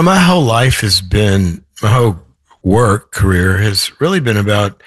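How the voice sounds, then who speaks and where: Male guest, hoarse today, low voice, rambling and reflective, dry recording. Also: fairly bright tone, dry recording. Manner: thoughtful, rambling with restarts. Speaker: author guest. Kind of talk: podcast interview.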